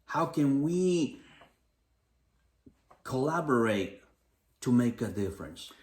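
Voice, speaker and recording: A man's voice: medium pitch, sing-song, takes it slowly, darkish tone. A man from the Los Angeles area co-hosting a community podcast, talking in an upbeat, motivational way with repeated phrases. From Los Angeles area, United States. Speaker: podcast co-host. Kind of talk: casual community podcast chat. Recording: echoey room.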